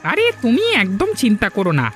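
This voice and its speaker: A man's voice: high voice, sing-song, a little fast, fairly bright tone, faint music behind. A man voicing an eager, naive young village man in a cartoon. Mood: eager, questioning. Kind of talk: Bengali cartoon voice acting.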